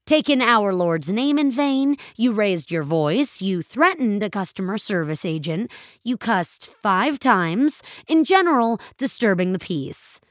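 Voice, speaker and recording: A woman's voice: medium pitch, sing-song, measured pace, dry recording, clean studio sound. A woman voicing a weary male public defender, officious and coaxing, in an audio fiction story.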